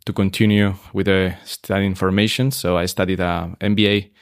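A deep-voiced man talks through his career at an easy pace. His voice is low and gravelly, full of "uh" and restarts. The sound is dry and close. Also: a little fast, no room sound. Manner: relaxed, many fillers and restarts. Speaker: hotel operations expert, guest. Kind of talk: casual interview conversation.

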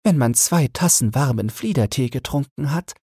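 A man voicing a caring, matter-of-fact mother in a bedtime fairy tale, soft and unhurried. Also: mid-range voice, expressive, husky, dry recording, studio quality, voice pushed up. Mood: soothing.

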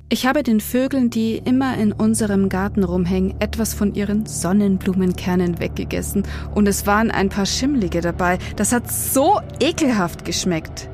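A woman's voice: medium pitch, natural voice. A woman playing a moody, defiant teenage goth girl confiding in her diary in a radio play, earnest and self-serious. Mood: glum, matter-of-fact. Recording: music behind the voice.

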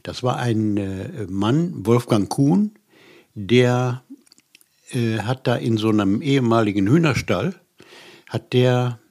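A man in his seventies from western Germany, a musician being interviewed, recalling his past casually and haltingly, often breaking off to search for words. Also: mid-range voice, expressive, darkish tone. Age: 70s. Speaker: interview guest, musician and writer. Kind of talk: casual personal recollection.

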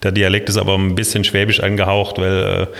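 A man in his fifties with a Swabian-Alemannic accent, a guest on an interview podcast, explaining things in a measured way with frequent hesitations. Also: low-pitched, completely flat delivery, vocal fry, fairly bright tone, long reverb tail. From Baden-Württemberg, Germany. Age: 50s. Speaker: city planning official, guest.

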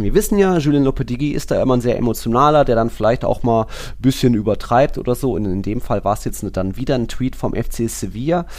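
A man's voice: medium pitch, a little mellow. A man with a casual, conversational delivery, talking as a host on a football podcast.

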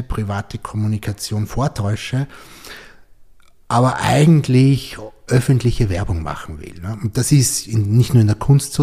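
A man's voice: mid-range voice, warm tone, breathy edge. A man hosting a podcast interview, reflective and thinking aloud as he talks. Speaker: podcast host. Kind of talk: conversational interview.

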